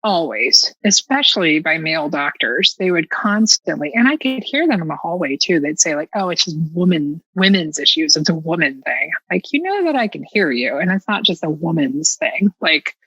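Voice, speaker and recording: A woman telling a personal story as a podcast guest in an animated, casual, self-mockingly dramatic way. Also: deep voice, normal speed, few pauses, slightly rough, no echo, muffled recording.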